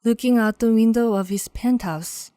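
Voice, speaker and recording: A woman's voice: a little high, moderate pace, dark tone, slight background noise, natural voice. A woman performing as a moody, mysterious narrator in a scripted noir audio drama.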